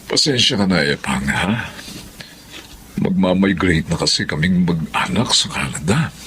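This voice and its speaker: A man's voice: deep voice, expressive, unhurried, fairly bright tone. A man playing a well-meaning, flustered father and employer in a Filipino TV melodrama, with a Filipino accent. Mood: gentle, apologetic. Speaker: actor in a drama. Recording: clearly noisy location sound.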